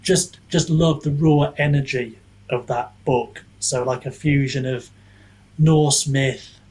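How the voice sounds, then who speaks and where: Man, husky voice, mid pitch, podcast guest, reflective, hesitant, casual, thinking aloud. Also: fairly even intonation, slow pace, darkish tone, poor audio. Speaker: podcast guest, author and book reviewer. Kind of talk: casual discussion.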